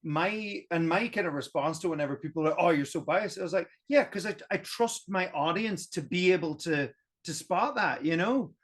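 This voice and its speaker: Irish guy, a bit high-pitched, lively podcast guest who talks things through. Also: moderate pace. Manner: animated, emphatic, thinks aloud. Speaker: guest history video creator. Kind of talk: casual roundtable podcast chat.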